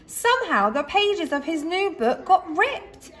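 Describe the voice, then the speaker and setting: A woman reading a children's story aloud expressively, like a teacher, with dramatic pauses and emphasis. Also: very high voice, very animated, fairly bright tone.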